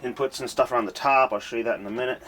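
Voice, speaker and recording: A man showing off an old tape machine in a casual walkthrough, speaking in an unhurried way and thinking aloud as he goes. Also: medium pitch, steady tone, a bit bright, slight background noise. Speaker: hobbyist showing a tape recorder.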